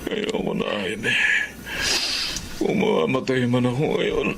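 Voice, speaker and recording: A man's voice: medium pitch, expressive, a little slow, vocal fry, a little hiss or hum. An actor playing a weak, dying older man who pleads and coughs in a radio drama. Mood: pleading.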